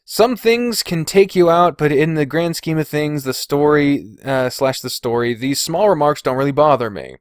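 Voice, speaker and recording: A man's voice: a little high, expressive, normal speed, few pauses, no echo. Casual man podcasting in a dry, close space. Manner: informal, freely swearing. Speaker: podcast host. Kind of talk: casual chat, reading and reacting to viewer comments.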